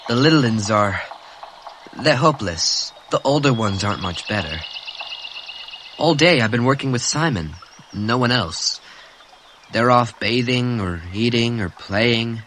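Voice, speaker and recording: A man's voice: medium pitch, animated, measured pace, pauses often, a bit bright, a little hiss or hum. Brief: tired, fed-up boy leader, plain mid voice, read by a man. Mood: weary.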